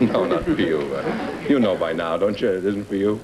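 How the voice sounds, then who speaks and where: A man's voice: sits low, animated, normal speed, a crowd in the background. A man announcing a quiz show, reading out scores and introducing the guests. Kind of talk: broadcast announcing.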